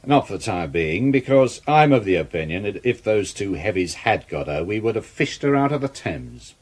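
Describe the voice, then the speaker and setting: Low, rough-edged British police detective. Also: male voice, big pitch swings, normal speed. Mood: sceptical, needling. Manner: dry, pointed questioning. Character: sceptical, brusque, needling male police detective. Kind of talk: British radio drama acting.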